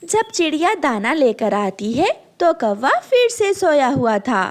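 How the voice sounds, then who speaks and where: A woman storyteller with a high-pitched voice. She reads scripted narration for a children's cartoon tale, with very animated, melodic intonation. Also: a little slow.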